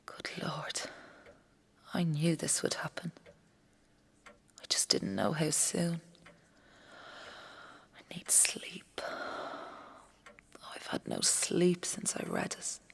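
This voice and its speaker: A woman's voice: sits low, very animated, drawn-out words, long pauses, in a whisper, exaggerated voice. A woman playing an anxious working-class young mother who fears for her husband, in an intimate audio drama monologue. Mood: weary dread. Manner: hushed, breathy inner thoughts broken by spoken-aloud outbursts. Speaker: actor in a solo audio play.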